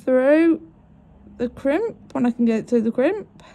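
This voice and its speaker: Lady with a cold, sniffly, chatty craft tutorial, slowish and kinda high. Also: animated, dark tone. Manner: chatty, apologetic, talks through each step.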